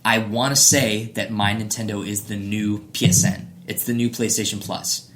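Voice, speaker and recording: A man's voice: on the low side, flat delivery, normal speed, fairly bright tone, a little hiss or hum. A man hosting a casual gaming podcast, chatting in an enthusiastic, opinionated way. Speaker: podcast host.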